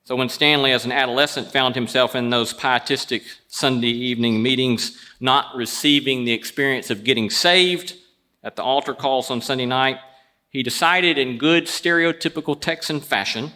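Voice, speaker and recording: A man hosting a show, telling prepared stories and introductions in a wry, deliberate way. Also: mid-range voice, sharp tone. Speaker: show host introducing a guest. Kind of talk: prepared storytelling introduction before an audience.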